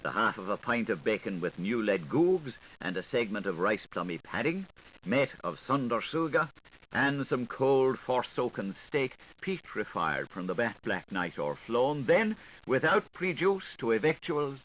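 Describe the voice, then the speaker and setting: A man reading a literary novel aloud as an audiobook, with a lilting, highly varied, expressive delivery. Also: measured pace, poor audio. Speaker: audiobook reader. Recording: faint tape hiss, 1980s recording.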